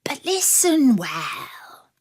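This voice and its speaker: A woman voicing a sly, scheming wolf in a fairy tale, coaxing in a slow, breathy, conspiratorial whisper. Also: high voice, big pitch swings, darkish tone, voice pushed up, exaggerated voice. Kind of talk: fairy-tale storybook reading.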